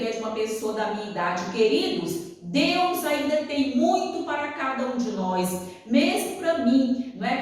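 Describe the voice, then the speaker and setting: A woman in her sixties giving a devotional Bible lesson, asking rhetorical questions and answering them herself while addressing listeners fondly. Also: a little high. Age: 60s. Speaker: Bible study teacher. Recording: echoey room, voice from far off the mic.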